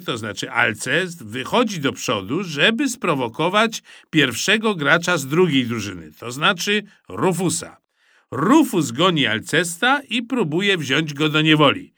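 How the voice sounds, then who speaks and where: A man's voice: a little high, sharp tone, dry recording, clean studio sound, voice pushed up, exaggerated voice. A man voicing a stern, exasperated school monitor who barks orders in an audiobook of a children's story. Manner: barking, exclamatory orders. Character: stern, exasperated male school monitor, red-faced and shouting.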